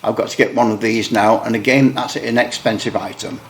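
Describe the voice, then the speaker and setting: A British man chats through his radio kit in a relaxed show-and-tell. He rambles a bit and pokes fun at himself, at a middling pitch. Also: fairly quick, a little hiss or hum. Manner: rambling, wry, self-deprecating. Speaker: radio hobbyist video maker. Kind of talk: casual show-and-tell explanation.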